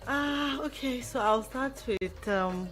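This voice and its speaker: A woman's voice: a little high, slow pace, fairly bright tone, faint music behind. A woman with a Nigerian accent giving considered, explanatory answers as a radio guest. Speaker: guest, foundation founder. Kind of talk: interview answers. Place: radio studio.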